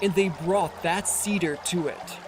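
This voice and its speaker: A narrator reading a scripture audiobook in a solemn, measured, formal manner. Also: medium pitch. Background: music.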